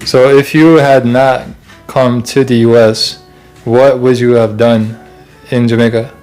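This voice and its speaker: A man's voice: mid-range voice, level tone, measured pace, darkish tone, faint music behind. Man casually interviewing, teasing tone. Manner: teasing, prompting questions. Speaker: interviewer, husband. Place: home kitchen.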